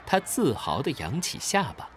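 A man narrating a children's audio drama in a calm storytelling manner. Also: fairly high pitch, normal speed, slight background noise.

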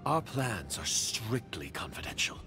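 A stern, suspicious agent in a video game. The voice is lowish, with lively rises and falls. He is guarded and sardonic, and music plays clearly behind him. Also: measured pace. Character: suspicious, stern masked secret agent man. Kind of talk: video game dialogue acting.

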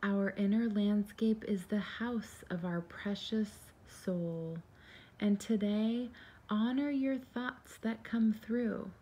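A woman leading a guided meditation in a soft, soothing, gentle and unhurried voice, breathy at times. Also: mid-range voice, steady tone.

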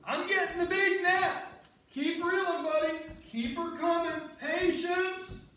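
A woman plays a kindly fishing companion in a stage play, easygoing in manner. The voice is high. It comes from far off in a very echoey hall with faint noise. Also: steady tone, slow pace, a bit bright, exaggerated voice. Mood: urging. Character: kindly, easygoing fishing buddy soothing others. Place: church sanctuary, live stage reading. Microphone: distant audience camera.

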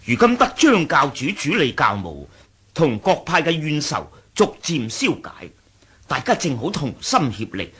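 An actor playing a dignified, diplomatic senior man of a martial sect in a Cantonese radio drama, formal and declamatory, with a raspy voice and a Hong Kong accent. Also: on the high side, wildly swooping pitch, measured pace, a bit bright, full character voice. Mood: reasoned, solemn.

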